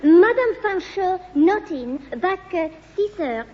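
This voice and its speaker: An actress playing an innocent young French au pair in a British sitcom, confused but polite, speaking halting broken English with a French accent. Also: female voice, very high voice, animated, full character voice. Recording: muffled old sitcom soundtrack, reverberant, hissy.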